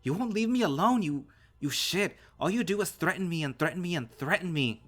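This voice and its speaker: A man voicing an angry, defiant animal boy, wounded and desperate, with foul-mouthed shouted outbursts in an audio story. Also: mid-range voice, lively intonation, moderate pace, faint music behind, pitched above the performer's own voice. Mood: tearful screaming rage.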